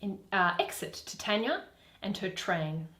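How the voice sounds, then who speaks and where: A woman's voice: mid-range voice, very animated, measured pace, fairly bright tone, reverberant. A woman reading a Shakespeare play aloud on her own, as narrator. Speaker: solo reader and host.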